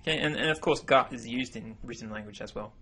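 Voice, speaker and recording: Husky-voiced man teaching a language lesson. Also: medium pitch, fast. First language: English. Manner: relaxed, self-correcting explanations. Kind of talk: narrated slideshow lesson. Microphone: voice-over.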